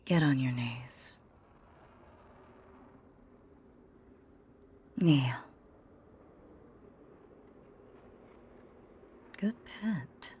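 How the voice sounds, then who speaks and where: A woman playing a possessive, hypnotic goddess in a whispered ASMR roleplay, coaxing a captive pet tenderly but with command, in breathy phrases with long pauses. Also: very deep voice, animated, mellow, slight background noise, heavily acted. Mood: pleased, commanding. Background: ambient sound effects.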